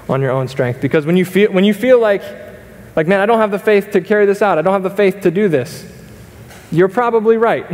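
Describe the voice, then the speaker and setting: A young man preaching from a church stage in an earnest, conversational way, full of fillers and restarts. His voice sits a little high. The sound carries the long reverb of a large hall. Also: darkish tone. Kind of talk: sermon. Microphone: stage mic, wide camera.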